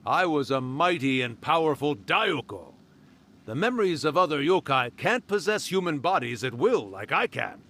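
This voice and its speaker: A man's voice: fairly high pitch, sing-song, normal speed, pushed and bright, heavily acted. A man voicing a mischievous old male fox spirit in a video game, theatrical and boastful. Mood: teasing. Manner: theatrical, hammy villain voice, then genial and teasing. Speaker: yokai spirit character.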